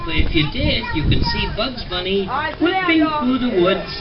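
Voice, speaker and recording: A man narrating a read-along storybook record in a gentle, sing-song storyteller manner. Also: very high voice, sing-song, moderate pace, over a noisy crowd. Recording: dull lo-fi old record audio with music.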